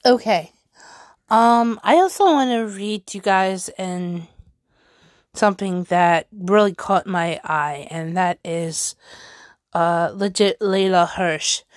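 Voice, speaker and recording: A woman hosts a fan podcast in a loose, casual chat, stumbling through fillers and restarts. Her voice sits at a middling pitch. The sound is dry, with no room around it. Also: no echo. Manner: halting, filler-heavy, chatty. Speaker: wrestling podcast host. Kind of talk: casual chat reading news and tweets.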